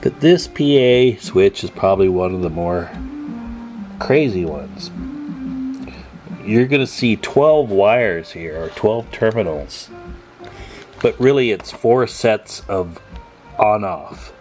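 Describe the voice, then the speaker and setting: A man with a fairly low voice talks viewers through hands-on repair work. His delivery is casual and folksy, with joking asides as he thinks aloud. Music plays behind him. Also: lively intonation, slow pace, pauses often, a little mellow. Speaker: hobbyist radio repair demonstrator, channel host.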